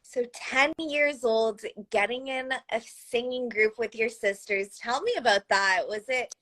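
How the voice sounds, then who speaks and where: A woman's voice: a little high, a little slow, fairly bright tone, low-quality recording. A young woman hosting a live interview in an upbeat, encouraging way.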